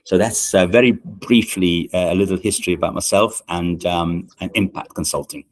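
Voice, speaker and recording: A middle-aged man from Italy softened by years in Britain, answering interview questions in a measured way many pauses and fillers. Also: deep voice. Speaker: management consultant and guest. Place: podcast studio.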